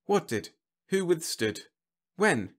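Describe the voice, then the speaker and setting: Man acting a high-pitched, flustered, meek official. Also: big pitch swings, a little slow, a bit bright. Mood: incredulous, confused. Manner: hesitant, stammering, helpless. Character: meek, bewildered government secretary, easily cowed man. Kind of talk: audiobook character acting.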